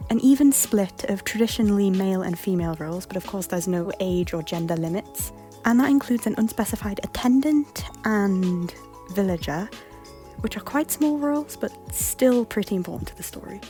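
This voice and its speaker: A woman's voice: mid-range voice, a little mellow. A woman reading a scripted announcement as a project creator, in a polite, explanatory way. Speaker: creator announcing a voice casting call. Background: background music.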